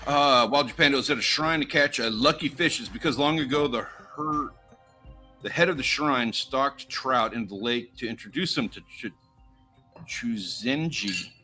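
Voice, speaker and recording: An American man co-hosting a livestream, chatting in a loose, easygoing way. His voice is bright and forward. Faint music plays under him. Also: mid-range voice, normal speed. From United States. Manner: laid-back, chatty, reads viewer names and runs polls. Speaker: livestream co-host. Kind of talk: casual livestream chat.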